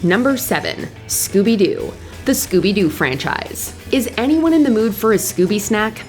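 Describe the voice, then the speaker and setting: A woman's voice: mid-range voice, sing-song, normal speed. A woman reading a scripted top ten countdown as narrator, with music behind her. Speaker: narrator and host of a countdown video. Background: background music.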